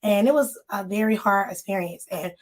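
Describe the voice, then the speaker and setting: A woman co-hosting a casual live-stream chat, talking straight to her viewers, her voice slightly hoarse as it recovers. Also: medium pitch, moderate pace. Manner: chatty, addresses viewers directly. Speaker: magazine co-host.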